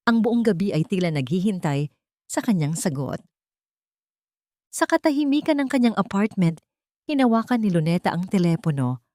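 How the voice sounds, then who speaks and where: A woman's voice: medium pitch, big pitch swings, moderate pace, pauses often, a little mellow, dry recording, studio quality. A woman reading a scripted love story as a dramatic narrator.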